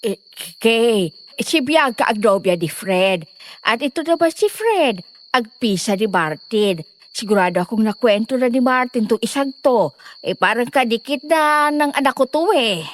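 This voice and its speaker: A woman's voice: a little high, lively intonation, normal speed, slight background noise, close to an everyday voice. A woman playing a fussy middle-aged village mother in an audio horror drama. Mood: apologetic, hospitable.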